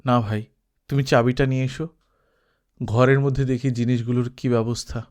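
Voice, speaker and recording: A man voicing a grieving young man of twenty-one in an audiobook, speaking in a quiet, polite way. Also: mid-range voice, steady tone, normal speed, natural voice. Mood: subdued.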